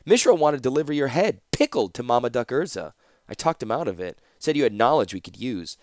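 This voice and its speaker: A man voicing a cruel, breezily sardonic woman torturer in a fantasy audiobook, mocking and calmly menacing. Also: mid-range voice, fairly quick, a little hiss or hum, pitched above the performer's own voice, lightly acted. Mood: dry, sardonic.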